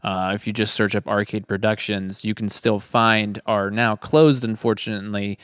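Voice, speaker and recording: A man's voice: on the low side, steady tone, normal speed, a bit bright, smooth, no echo, studio quality. A man hosting a casual conversation, speaking earnestly and feeling his way through his thoughts with rephrasing. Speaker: host of a production log podcast.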